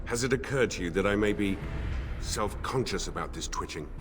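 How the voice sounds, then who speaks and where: A man's voice: lowish voice, lively intonation, faint music behind, natural voice. An actor playing a solemn spirit in a dead man's body, speaking formally and stiffly in a video game. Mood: formal, deadpan. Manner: formal, stiff, measured speech.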